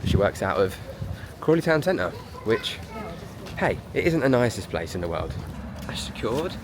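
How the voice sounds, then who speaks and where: A man's voice: fairly low pitch, very animated, normal speed, noisy background. A man, chatting casually in a vlog, a bit congested from a sore throat, upbeat and rambling. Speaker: vlogger.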